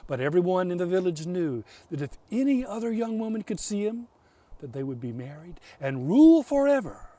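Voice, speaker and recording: Man telling folk tales, very animated. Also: sits high, far from the mic. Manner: theatrical, deliberate pauses. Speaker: storyteller. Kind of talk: dramatic oral folk-tale storytelling.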